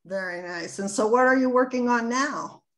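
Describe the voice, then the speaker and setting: A woman's voice: fairly high pitch, moderate pace. A woman interviewer putting questions to a guest in a conversational style.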